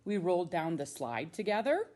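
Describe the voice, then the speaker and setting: Woman, American accent, lowish voice, very lively intonation, teacher's read-aloud storytelling, stretched key words. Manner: expressive, drawn-out emphasis on key words. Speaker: middle school science teacher reading a children's book.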